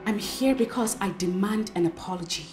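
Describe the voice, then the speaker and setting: A woman's voice: medium pitch, fairly quick, music in the background, lightly acted. A woman playing an indignant, entitled young girlfriend, demanding and defiant, in a screen drama.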